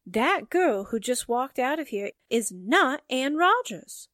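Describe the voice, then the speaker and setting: A woman's voice: high voice, sing-song, slow, a bit bright, dry recording, studio quality, full character voice. A woman playing a plucky, suspicious young newspaper secretary in a theatrical 1940s radio drama style. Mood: sure, conspiratorial.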